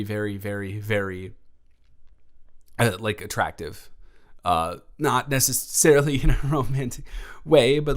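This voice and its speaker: A man's voice: medium pitch, natural voice. A young man playing a shy, sweet college freshman in a romantic audio roleplay, stammering and rambling with earnest self-deprecation. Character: shy, nervous, sweet young male college freshman from small-town Georgia. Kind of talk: romantic audio roleplay, one-sided conversational acting.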